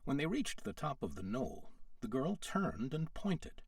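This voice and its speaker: Man telling a story with lively intonation. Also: mid-range voice, unhurried, no echo, studio quality, recorded from a distance. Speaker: storyteller, audiobook reader. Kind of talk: scripted storybook narration.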